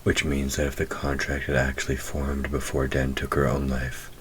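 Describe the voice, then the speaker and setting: A man playing a wry demon hunter who is himself a demon, teasing yet protective, speaking intimately and with measured sarcasm in an audio roleplay. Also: extremely low pitch, completely flat delivery, fairly bright tone, slight background noise. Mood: grave, explaining. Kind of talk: scripted audio roleplay spoken to the listener.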